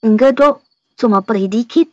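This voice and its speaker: A woman's voice: on the high side, moderate pace, no echo, dull audio, natural voice. A woman voicing a meek, apologetic young hotel waitress in a cartoon. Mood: subdued, pleading. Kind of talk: cartoon dialogue.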